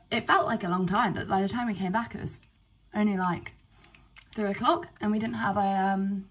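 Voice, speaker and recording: A young woman from England chatting casually to camera in a vlog, rambling with fillers and quick asides. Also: medium pitch, recorded from a distance, low-quality recording. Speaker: vlogger. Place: cottage bedroom indoors, later outdoors on a walk. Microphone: camera at arm's length.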